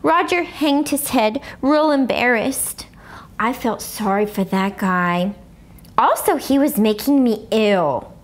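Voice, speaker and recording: Woman performing a high, dramatic little first-grade girl. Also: expressive, slow. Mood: animated. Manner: childlike bad grammar, exaggerated emphasis. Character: excitable first-grade girl narrating her journal, blunt and dramatic. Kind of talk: expressive children's book read-aloud.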